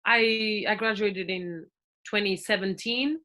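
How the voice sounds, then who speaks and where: A young Portuguese woman in her twenties talks as a podcast guest, her accent plain and her voice bright and forward. She chats loosely, leaning on fillers and restarting her sentences. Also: mid-range voice, slow. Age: 20s. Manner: chatty, with frequent fillers and restarts. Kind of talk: casual podcast interview.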